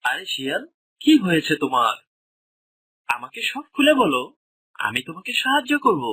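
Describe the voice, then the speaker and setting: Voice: mid-range voice, huge pitch swings, moderate pace, bright, forceful tone, breathy edge, dry recording, clean studio sound, full character voice. A performer voicing a grateful, friendly village man in a children's cartoon, earnest and humble. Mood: reassuring.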